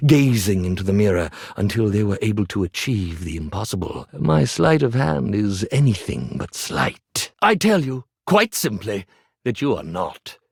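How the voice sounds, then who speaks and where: Pompous, swooping stage magician. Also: male voice, on the low side, big pitch swings, normal speed, gravelly, a little mellow, husky, no room sound, clean studio sound, heavily acted. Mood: haughty, seductive menace. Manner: grandiose, melodramatic, florid diction. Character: pompous, theatrical stage magician who is secretly an iron. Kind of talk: theatrical video game character acting.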